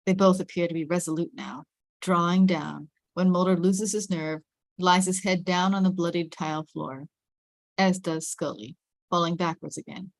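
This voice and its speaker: A woman's voice: on the low side, fairly even intonation, moderate pace, no room sound. A woman reading screenplay stage directions as scripted narration.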